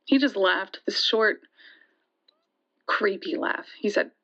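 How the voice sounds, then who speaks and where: A woman playing a shaken young woman who recounts a frightening night in an audio drama, halting and conversational, with hesitations and restarts. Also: medium pitch, bright, forceful tone, full character voice. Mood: tense, hesitant. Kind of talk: first-person dramatic storytelling in an audio drama. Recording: dull, lo-fi sound.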